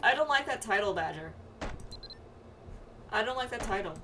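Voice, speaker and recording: A woman streaming a game with casual, animated, playful commentary among friends. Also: a little high, normal speed. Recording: faint background noise.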